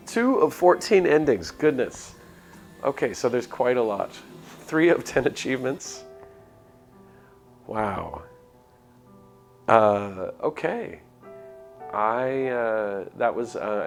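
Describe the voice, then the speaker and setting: A man's voice: medium pitch, sing-song, slow, gravelly, pauses often, soft background music. A man streaming a game live, reading a visual novel aloud and chatting casually in a hesitant, rambling way.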